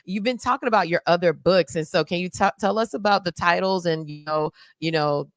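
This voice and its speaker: A woman's voice: lowish voice, no room sound. Stammering podcast host woman, dry voice. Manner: halting, repeats words, many fillers. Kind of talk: casual interview.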